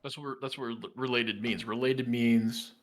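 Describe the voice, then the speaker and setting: A man's voice: mid-range voice, fairly even intonation, moderate pace. A man in a casual voice chat, insistent and argumentative, repeating himself to press his point. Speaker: learner on a study stream.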